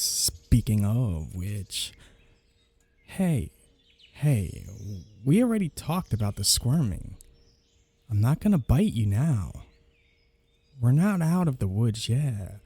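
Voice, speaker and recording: Casting: male actor as sardonic, flirty vampire for ASMR roleplay, unhurried husky delivery with swooping, lively inflection. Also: mid-range voice, exaggerated voice. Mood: smug, flirtatious. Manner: teasing, sarcastic, swearing banter. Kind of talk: ASMR roleplay audio drama, one side of dialogue. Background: birdsong, woodland sound effects.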